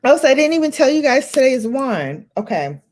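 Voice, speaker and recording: A woman's voice: high-pitched, very animated, brisk, darkish tone. A woman from Brooklyn with a Brooklyn accent, hosting a casual livestream, chatting and laughing a lot with her viewers. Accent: Brooklyn New York. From Brooklyn, New York. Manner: chatty, laughs often, greets viewers by name. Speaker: livestream host. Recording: faint music behind.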